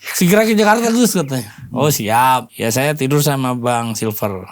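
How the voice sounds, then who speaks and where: A man's voice: mid-range voice, lively intonation, normal speed. A man in his forties chatting casually as a guest, telling stories in an interview. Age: 40s. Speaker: podcast guest, political volunteer and former journalist.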